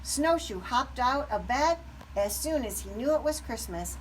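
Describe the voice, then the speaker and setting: A woman narrating a children's story aloud with expressive, deliberate phrasing. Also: high voice, recorded from a distance, poor audio. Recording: background noise.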